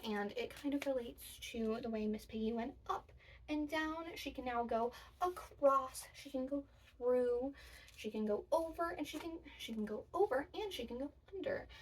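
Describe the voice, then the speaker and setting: Female children's storytime presenter, high voice, sing-song emphasis, recorded a little away from the mic. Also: distant mic, slight background noise, rough recording. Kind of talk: demonstrating a children's storytime activity and reading picture books.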